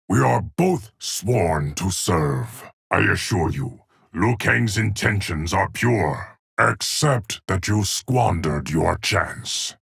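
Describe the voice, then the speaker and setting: Game casting: an ageless, solemn keeper of time, low rumbling gravel, dry close sound, measured formal pronouncements. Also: male voice, a bit bright, no echo, heavily acted. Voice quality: deep, gravelly, husky. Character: solemn ageless immortal male guardian of time. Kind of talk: video game character voice lines.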